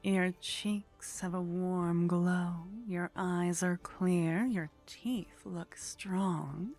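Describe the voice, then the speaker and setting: A woman playing a haughty, flirtatious vampire in an intimate ASMR roleplay, speaking softly and close to the microphone with a teasing, condescending manner that often drops toward a whisper. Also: fairly low pitch, fairly even intonation, drawn-out words, soft background music, heavily acted. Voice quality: soft, breathy.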